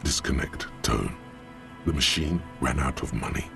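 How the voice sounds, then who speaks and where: An actor voicing a grave, world-weary inner voice that narrates a detective's thoughts in slow, literary, ominous phrases. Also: male voice, music in the background, exaggerated voice. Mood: dry, grave. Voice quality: deep, gravelly. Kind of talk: scripted dramatic game narration.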